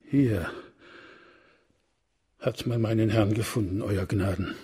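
An actor playing a deferential old house steward in a radio crime play, servile and plain-spoken, with a raspy voice. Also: male voice, lowish voice, steady tone, takes it slowly, dark tone, exaggerated voice. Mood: humble, explanatory.

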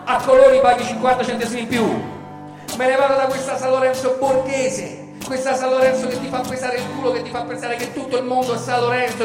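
Echoey, high-pitched Roman man performing a monologue. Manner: emphatic, repetitive litany delivery swinging from murmur to shouting. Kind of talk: satirical theatrical monologue for radio. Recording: echoey reverberant space, music behind. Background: music.

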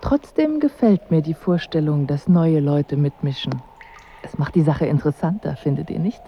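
An actress playing a languid, coolly ironic royal wife in a radio drama, with dry and formal diction. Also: female voice, low voice, animated, normal speed, muffled recording, a little hiss or hum. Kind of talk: literary radio drama acting.

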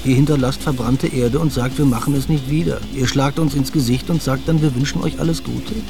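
A man's voice: mid-range voice, steady tone, a little fast, vocal fry, music in the background, close to an everyday voice. A man playing an indignant activist, reading out an accusing email in a radio drama. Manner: accusing, rhetorical questioning. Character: indignant male activist writing an angry email.

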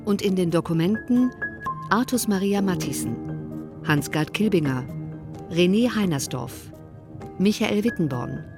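Lowish female announcer over music. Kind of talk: scripted radio credits announcement.

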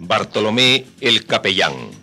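A man's voice: fairly low pitch, very animated, a little slow, over music. A man announcing a radio drama's titles in a dramatic, scripted style.

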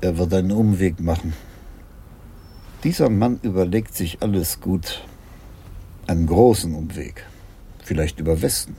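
An actor playing a dry, reflective senior police commissioner in a radio crime drama, in a leisurely, ruminative way. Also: male voice, low voice, unhurried, long pauses, dark tone, husky, full character voice. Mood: musing. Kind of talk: 1980s German radio crime drama acting.

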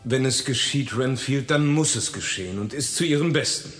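An actor playing a tired, firm asylum doctor, brisk and authoritative, in a radio horror drama. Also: male voice, medium pitch, a bit bright, reverberant, in a large hall, close to an everyday voice. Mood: weary, firm. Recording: music clearly behind the voice.

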